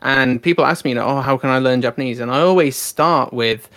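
Podcast host, mid-pitched man, dry sound. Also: fairly quick, a bit bright, no echo. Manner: friendly, uses many fillers and affirming backchannels. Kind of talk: casual podcast interview.

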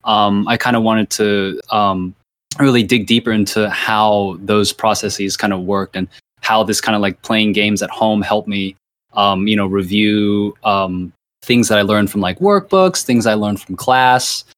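A man's voice: fairly low pitch, unhurried, fairly bright tone, no room sound, studio quality. A man from Virginia whose first language is Thai, a university lecturer giving rambling casual interview answers full of fillers and restarts. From Virginia, USA.

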